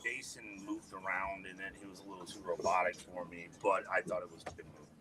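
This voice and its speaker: A man's voice: flat delivery, fast talker, bright, forceful tone, breathy edge. A man being interviewed as a guest musician, talking in a laid-back way, swearing a lot and trailing off into fillers. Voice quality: deep voice. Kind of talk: casual interview chat.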